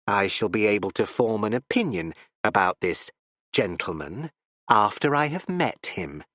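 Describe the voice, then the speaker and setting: An aging judge speaks in a low, unhurried voice, imperturbable and faintly mocking, as a male narrator acts him out. His delivery is dry and deliberate. The recording is close and dead. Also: expressive, a bit bright, no room sound. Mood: sardonic. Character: cold, sardonic older male judge.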